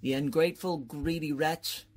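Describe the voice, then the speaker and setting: Husky, effusive bumbling male professor as acted. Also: medium pitch, steady tone, moderate pace, a little mellow, lightly acted. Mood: flattering. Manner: theatrical, effusive, hesitant fillers. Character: eager, flattering, bumbling male college professor. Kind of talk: old-time radio comedy acting.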